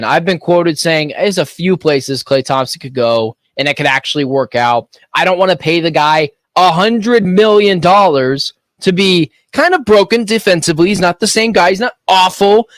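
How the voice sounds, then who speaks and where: High-voiced opinionated sports radio man. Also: no echo. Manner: animated, opinionated, quick asides. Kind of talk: sports betting radio talk. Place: radio studio. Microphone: studio microphone.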